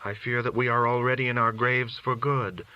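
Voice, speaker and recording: A man's voice: medium pitch, flat delivery, moderate pace, pushed and bright, lo-fi sound, pitched above the performer's own voice. A man voicing a pious, self-effacing, worried wife in a folk tale, plaintive and fretful. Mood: distressed. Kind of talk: audiobook character dialogue.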